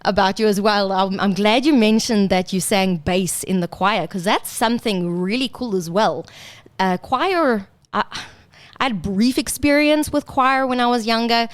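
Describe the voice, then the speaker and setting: A woman's voice: mid-range voice, normal speed, no room sound. A woman hosting a radio interview, gushing and effusive in her praise. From South Africa. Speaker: radio host. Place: radio studio.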